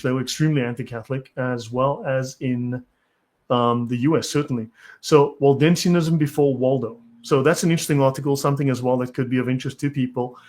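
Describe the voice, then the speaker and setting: A man's voice: mid-range voice, darkish tone. A South African man hosting a live stream, who reads from articles and answers chat comments bluntly and impatiently. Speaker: channel host.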